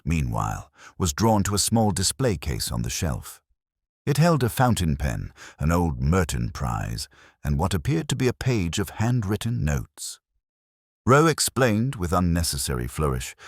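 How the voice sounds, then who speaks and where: A man playing a brooding, world-weary police inspector, narrating a mystery in measured, literary, ruminative first person. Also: extremely low pitch, husky, no room sound, clean studio sound, exaggerated voice.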